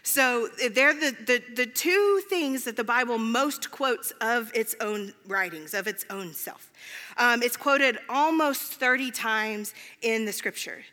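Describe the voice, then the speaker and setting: Bright-voiced woman preaching in a reverberant hall. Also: fairly high pitch, takes it slowly. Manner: self-deprecating, informal. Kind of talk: conversational sermon. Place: large reverberant room, speaking to a congregation.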